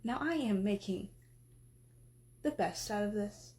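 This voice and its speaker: A woman voicing an exasperated goddess who scolds her husband, swinging between sharp outbursts and weary pleading. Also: mid-range voice, a little slow, voice pushed down, lightly acted. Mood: frustrated. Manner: scolding, then pleading and tearful. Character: exasperated, emotional goddess wife.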